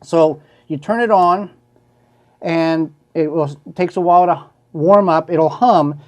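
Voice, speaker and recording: A man's voice: a little high, slow, dull audio. A man hosting a casual show-and-tell, explaining things in a folksy, plainspoken way. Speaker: host showing a collectible.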